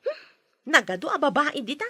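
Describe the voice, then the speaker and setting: Snotty filipino sister in radio drama, really high bright voice, swoops around, bossy n taunting. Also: female voice, big pitch swings, moderate pace, dry recording, exaggerated voice. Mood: nagging. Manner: taunting, haughty. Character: snide, bossy sister guarding her brother from his girlfriend. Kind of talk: Ilocano radio drama acting.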